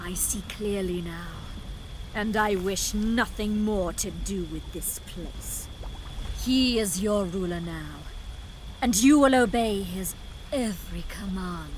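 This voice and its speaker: A woman's voice: medium pitch, slow pace, a little hiss or hum, close to an everyday voice, rough recording. A woman voicing a seductive, haughty fairy queen in a video game, sultry and condescending. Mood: imperious. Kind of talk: video game character acting. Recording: loud music behind the voice.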